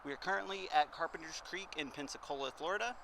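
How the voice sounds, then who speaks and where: Fast-talking man hosting street interviews, noisy outdoors. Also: on the high side, fairly bright tone. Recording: clear outdoor traffic noise.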